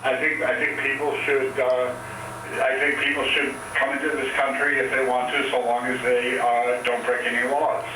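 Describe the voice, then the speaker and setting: A man's voice: medium pitch, flat delivery, moderate pace, very echoey, distant mic, background noise. A man calling in to a talk show, joking around but hesitant and fumbling when pressed for answers. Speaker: caller.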